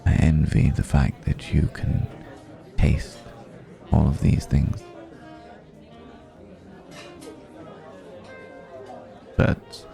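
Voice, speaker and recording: A man playing a refined, wistful, centuries-old vampire in an intimate ASMR roleplay, speaking reflectively with lingering pauses. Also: rumbling low, little pitch movement, gravelly, mellow, faint music behind, full character voice. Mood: wistful envy.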